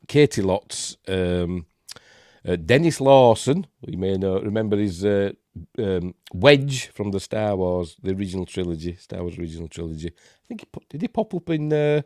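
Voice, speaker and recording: A man's voice: on the low side, darkish tone, no echo, clean studio sound. A man with a Yorkshire accent hosting a rambling live-stream film review, congested and snuffly from a cold. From Yorkshire, England. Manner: rambling, hesitant, many fillers. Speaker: host.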